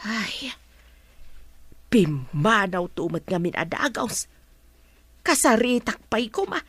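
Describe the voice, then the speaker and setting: An actress with an Ilocano accent playing a gossipy, suspicious woman fretting over family affairs in a radio drama, with exclamations and drawn-out sighs. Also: medium pitch, sing-song, breathy edge, no room sound, heavily acted. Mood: suspicious, musing.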